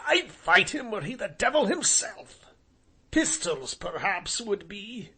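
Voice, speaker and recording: A man voicing a hot-tempered young gentleman who blusters and curses in a high, strained voice. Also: big pitch swings, slow, husky, heavily acted. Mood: defiant. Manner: blustering, cursing.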